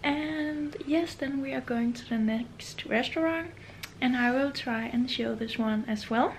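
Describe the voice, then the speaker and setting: Young woman, travel vlogger, slow and relaxed, gravelly fry in her voice, faint room hiss. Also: high voice. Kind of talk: casual vlog to camera. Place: hotel room, indoors. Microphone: camera held close or on a stand.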